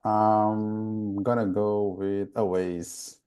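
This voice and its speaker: A backend developer from Portugal introducing himself in a hesitant, halting way on a video call. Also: male voice, lowish voice, level tone, dark tone, clean tone. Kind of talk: casual self-introduction.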